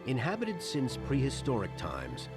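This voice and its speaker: Animated male narrator over loud music. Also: medium pitch, a little slow. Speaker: documentary narrator. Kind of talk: scripted narration.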